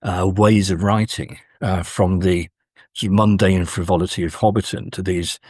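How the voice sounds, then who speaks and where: A man's voice: sits low, fairly even intonation, moderate pace, a little mellow, husky, no echo, clean studio sound. A man talking as an academic guest, considered and hesitant, in a scholarly conversation. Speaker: academic author, interview guest.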